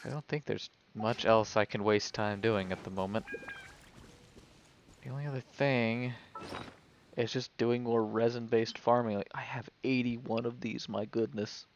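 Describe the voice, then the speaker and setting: A man's voice: medium pitch, steady tone, a little slow, a little hiss or hum. A man giving casual, offhand game commentary and chatting to viewers as he plays. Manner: offhand, talks to himself while playing. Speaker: video game livestreamer. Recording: game music behind the voice. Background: video game music.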